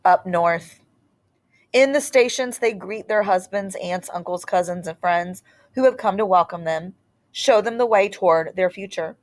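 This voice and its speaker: Woman reading a picture book aloud, unhurried. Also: lowish voice. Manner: unhurried, short deliberate phrases with dramatic pauses. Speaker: read-aloud storyteller. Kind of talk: expressive picture-book read-aloud with brief asides.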